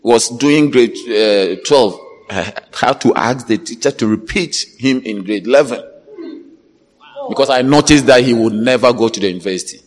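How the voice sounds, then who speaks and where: A man preaching an animated sermon, quizzing the congregation and breaking into shouts and laughter. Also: mid-range voice, normal speed. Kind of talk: lively church sermon. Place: church. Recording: reverberant church hall with congregation.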